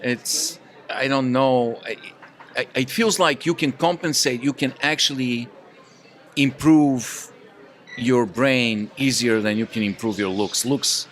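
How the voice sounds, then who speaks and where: A man's voice: medium pitch, slow pace, a bit bright. A man chatting casually as a podcast panelist, explaining his reasoning point by point.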